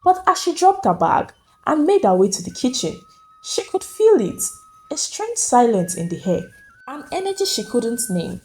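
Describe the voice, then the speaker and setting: A woman narrates a scripted folktale with great drama. Her voice sits fairly high and swings widely in pitch, with soft music behind it. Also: sing-song, normal speed, poor audio. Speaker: storyteller, narrator. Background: faint music.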